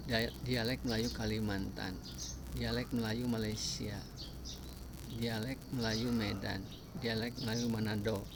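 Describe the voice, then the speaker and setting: A man giving a spoken lesson, listing examples one by one with frequent pauses. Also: medium pitch. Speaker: language teacher. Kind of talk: spoken lecture on language varieties. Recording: clear background noise with birdsong.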